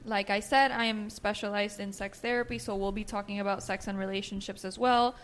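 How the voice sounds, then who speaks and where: A young woman from Nicaragua whose first language is Spanish, co-hosting a therapists' podcast in a relaxed chatty way and leaning on "you know". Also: mid-range voice, a little fast, a little hiss or hum. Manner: conversational, fills with "you know" and "right". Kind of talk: casual chat.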